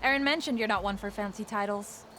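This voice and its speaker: A woman's voice: medium pitch, quick pace, music in the background, lightly acted. A woman playing a practical, teasing soldier in a video game, speaking casually with dry humour. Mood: wry. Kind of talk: video game cutscene acting.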